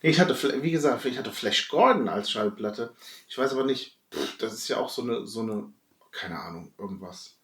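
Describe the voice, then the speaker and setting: Creaky-voiced middle aged guy podcasting, stops n starts, roomy sound, bit far off the mic. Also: fairly low pitch, animated, moderate pace, a bit bright. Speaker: podcast host. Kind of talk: casual nostalgic chat among friends. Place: echoey room, away from the mic.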